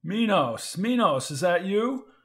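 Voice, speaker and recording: A man's voice: fairly high pitch, expressive, unhurried. A man voicing a nervous young male doctor who talks in an urgent, agitated, pressing way. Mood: frantic.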